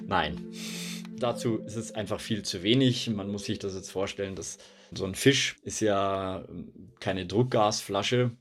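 A man's voice: lowish voice, a little slow, soft background music. A man answering children's questions as an expert, explaining things in simple spoken language.

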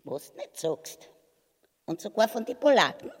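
Husky high-pitched old nag of a wife, viennese, drawn-out, hall reverb. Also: male voice, big pitch swings. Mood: scornful. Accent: Viennese Austrian. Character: Mother Ubu, old shrewish nagging wife. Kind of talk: grotesque farce radio play acting.

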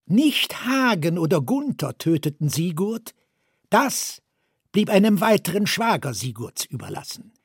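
A man's voice: high voice, big pitch swings, measured pace, husky, no echo, clean studio sound. A man reading literary narration in the manner of a radio story.

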